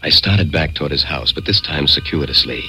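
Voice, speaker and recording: An actor playing a curious, insistent man narrating his own eerie mystery in a deliberate, expressive radio drama style. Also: very deep voice, a little hiss or hum, full character voice. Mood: suspenseful, absorbed. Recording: radio drama with music behind.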